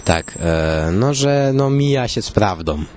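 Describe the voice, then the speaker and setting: A man co-hosting a comedy podcast, talking in a mock-serious, bantering and somewhat halting way. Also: fairly low pitch, animated, darkish tone, a little hiss or hum. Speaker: comedy podcast co-host and street interviewer.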